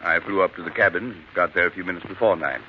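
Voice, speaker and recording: A man plays a composed American doctor with a very low, assured voice in a vintage radio mystery. He explains things matter-of-factly, through a muffled, hissy broadcast recording. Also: fast, close to an everyday voice. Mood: composed, explaining. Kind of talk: 1940s radio mystery acting.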